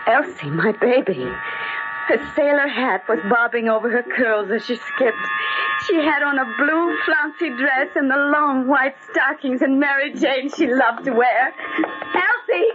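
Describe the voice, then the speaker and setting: An actress playing a troubled, paranoid widow in an intense, dramatic old-time radio horror style. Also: female voice, high-pitched. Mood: frantic. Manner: intense, dramatic, swinging from hushed to hysterical. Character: troubled, haunted invalid widow narrating her descent into paranoia. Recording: dull old radio recording under loud music.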